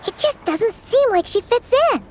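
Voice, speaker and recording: A woman voicing a small chirpy fairy companion in a video game, with a squeaky childlike voice, curious and earnest. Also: lively intonation, normal speed. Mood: earnest, puzzled. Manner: refers to self in third person, exclaiming. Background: music.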